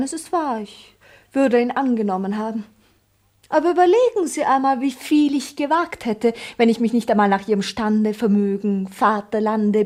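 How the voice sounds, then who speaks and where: Sly, high-voiced young maidservant played by an actress. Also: female voice, darkish tone, close to an everyday voice. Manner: teasing, theatrical, mock-formal. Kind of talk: classic German stage comedy as radio play.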